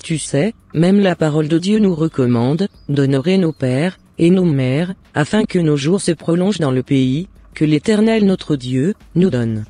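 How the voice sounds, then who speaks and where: A man's voice: fairly high pitch, animated, a little slow, few pauses, mellow, no room sound. A man playing a loving, devout mother in a cartoon, giving earnest and encouraging advice in formal words. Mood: gently advising. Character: devout, loving mother advising her son. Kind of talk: scripted cartoon dialogue.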